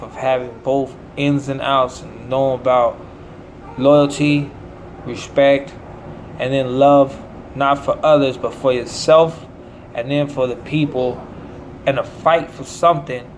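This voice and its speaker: A man's voice: medium pitch, flat delivery, slow pace, slight background noise. An American man delivering a rambling monologue in short, declamatory, preacher-like phrases. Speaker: self-promoting musician.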